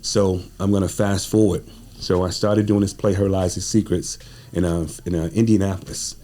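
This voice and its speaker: Very deep, mellow American man. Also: steady tone, normal speed. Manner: informal, slangy speech. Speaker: guest playwright. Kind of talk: conversational interview storytelling.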